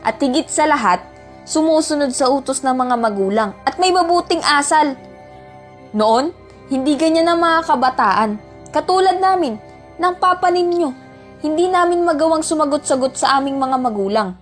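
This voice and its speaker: A woman's voice: sits high, expressive, normal speed. A woman acting a stern, old-fashioned Filipino mother who scolds her children in an amateur radio drama. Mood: scolding. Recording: music bed behind dialogue.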